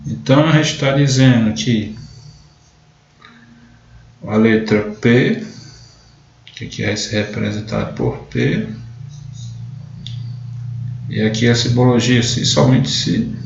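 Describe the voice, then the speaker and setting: A slow-spoken man with a gravelly edge teaches logic, walking through each example step by step. His voice sounds dull and lo-fi, recorded in a roomy, echoing space. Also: mid-range voice, slight background noise. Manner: slow, step-by-step, often starting with "então". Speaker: math teacher. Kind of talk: live online lesson explaining logic. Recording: echoey room, dull lo-fi sound.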